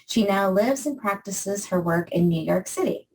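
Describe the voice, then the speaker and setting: A woman's voice: medium pitch, animated, moderate pace, distant mic, rough recording. A woman from Maine hosting an interview podcast, asking questions with frequent fillers. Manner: warm hesitant questions with fillers. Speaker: podcast host.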